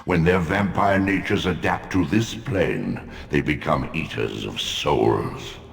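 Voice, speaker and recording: An actor playing an ancient, all-knowing spirit mentor in a video game, grave and commanding, with a solemn, oracular delivery. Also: male voice, rumbling low, takes it slowly, creaky, heavily acted. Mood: grave warning. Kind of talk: dramatic video game voice acting. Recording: long cavernous reverb, music behind.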